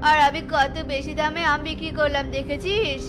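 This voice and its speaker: A woman's voice: high-pitched, fairly even intonation, normal speed, over music, lightly acted. A woman voicing a selfish, boastful male crow in a children's cartoon, with a scornful, bragging manner.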